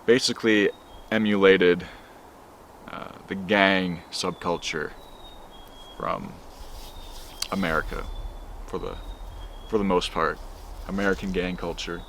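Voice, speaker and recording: A young man narrating a commentary video in a measured, lecturing way. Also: sits low, fairly even intonation, a bit bright, slight background noise.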